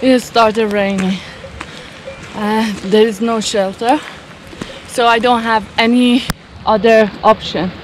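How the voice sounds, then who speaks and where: Slow, enthusiastic woman vlogging on a trail. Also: fairly high pitch, steady tone, a little hiss or hum. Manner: enthusiastic, exclaims at views. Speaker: travel vlogger. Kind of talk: casual vlog narration while hiking. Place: outdoors on a mountain trail.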